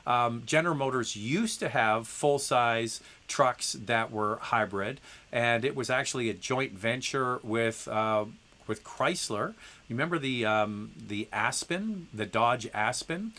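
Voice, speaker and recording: Bright-voiced man with a stuffy head cold. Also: mid-range voice, unhurried. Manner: conversational, with frequent ums and restarts; reads viewer messages aloud. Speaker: host answering viewer questions. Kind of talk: live stream Q&A.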